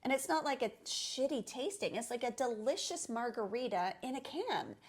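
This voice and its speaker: Woman co-host in casual, animated chat. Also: fairly high pitch, moderate pace. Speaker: podcast co-host.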